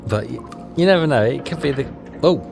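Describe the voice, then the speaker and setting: A man's voice: medium pitch, expressive, a little fast, creaky. A man doing casual let's play commentary, muttering and questioning himself as he thinks aloud. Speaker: video game player and commentator. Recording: game music behind the voice. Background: game music.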